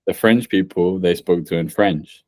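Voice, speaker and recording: A man hosting a podcast who talks in a measured way, building his points through examples with pauses and restarts. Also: low voice, a little mellow, no echo, clean studio sound. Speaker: podcast host. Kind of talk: casual podcast chat.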